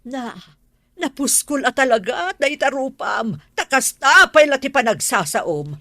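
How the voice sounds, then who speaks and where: A woman's voice: sits high, sing-song, a little slow, sharp tone, dry recording, studio quality, exaggerated voice. A woman with an Ilocano accent playing a stern, exasperated but caring middle-aged mother in a radio drama. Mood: scornful.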